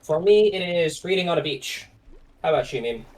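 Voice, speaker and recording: A man's voice: on the high side, lively intonation, moderate pace, a little mellow. A man co-hosting a casual Q&A, joking and self-deprecating as he reads out questions, on a distant mic with noise suppression. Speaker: co-host. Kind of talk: casual chat. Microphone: mic set far away, noise-suppressed.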